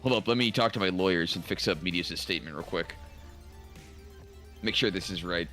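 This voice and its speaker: Very low-voiced woman with sarcastic asides. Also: brisk, a bit bright, soft background music. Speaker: co-streamer. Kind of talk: casual banter on a co-stream.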